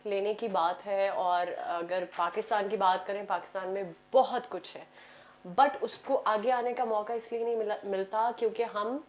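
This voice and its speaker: A woman's voice: medium pitch, steady tone, moderate pace. A woman with a Pakistani accent giving her opinions conversationally as a panel guest, mixing in English phrases. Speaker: talk show guest.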